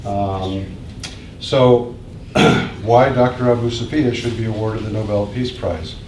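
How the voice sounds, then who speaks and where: A man from the Midwest giving a prepared speech as an invited speaker, measured and pausing often. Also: on the low side, a little mellow, a little hiss or hum. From American Midwest. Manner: measured, reads from prepared remarks with pauses. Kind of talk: prepared speech at a public event. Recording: echoey room, mic at a distance.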